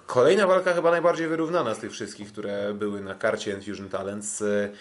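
Male podcast host, lowish voice, hesitant and pausing often while giving fight recaps.

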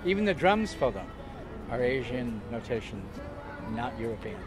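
A man's voice: medium pitch, very animated, moderate pace. An older man in casual conversation outdoors on a waterfront pier, talking in a chatty, theatrical, joking way. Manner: chatty, theatrical, jokey with bursts of loud laughter. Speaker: interviewee. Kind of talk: casual storytelling. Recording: outdoor street noise behind.

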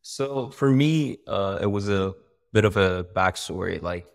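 A young man from Iran, a startup founder talking casually on a video call, animated, with many fillers and restarts. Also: low-pitched, unhurried, vocal fry. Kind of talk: casual interview. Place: bright room by a window.

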